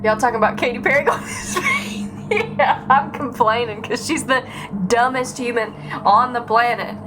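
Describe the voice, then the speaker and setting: A woman's voice: a little high, normal speed, low-quality recording. A woman livestreaming a game, chatting casually and rambling in a sarcastic, sweary, griping way. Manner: sarcastic, profane, animated griping. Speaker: live game streamer. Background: game music.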